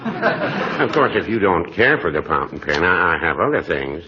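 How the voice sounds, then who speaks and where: An actor playing a mild, cautious older small-town druggist, hesitant and dryly noncommittal, in 1940s radio comedy style. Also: male voice, deep voice, big pitch swings, normal speed, a crowd in the background. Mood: mild, droll. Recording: muffled, hissy 1940s radio recording.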